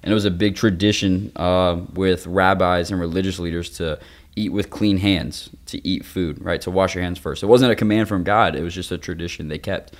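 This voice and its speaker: A man in his twenties with a very low-pitched voice, speaking on a young adult ministry podcast. He teaches in a loose, conversational style, using youthful slang and everyday examples to explain things. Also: steady tone, moderate pace. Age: 20s. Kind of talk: casual faith discussion with teaching.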